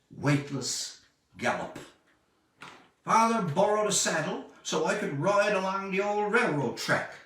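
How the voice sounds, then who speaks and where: A man reading his own literary short story aloud in a measured, deliberate way, with expressive emphasis and dramatic pauses. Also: fairly high pitch. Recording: echoey room, distant microphone.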